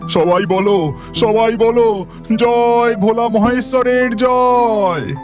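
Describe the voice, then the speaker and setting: A man voicing a devout, excitable village husband in a cartoon, exclaiming fervently. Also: very high voice, slow pace, over loud music. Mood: fervent joy.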